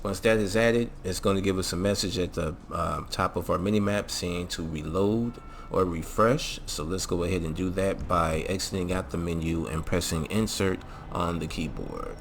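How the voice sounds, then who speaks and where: A man's voice: deep voice, moderate pace, vocal fry, few pauses, slight background noise. A man hosting a casual, slangy video game mod tutorial in an informal, laid-back way. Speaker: gaming channel host. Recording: music behind the voice. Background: music.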